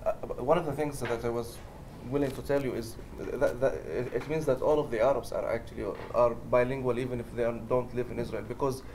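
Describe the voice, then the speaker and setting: A man in his forties, a native Arabic speaker with a Palestinian Arabic accent, talking on a university panel in a halting way, full of fillers and restarts, with wry humor. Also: mid-range voice, fairly even intonation, darkish tone. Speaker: novelist on a panel. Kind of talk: casual panel talk. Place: university lecture hall. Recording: echoey hall with background noise.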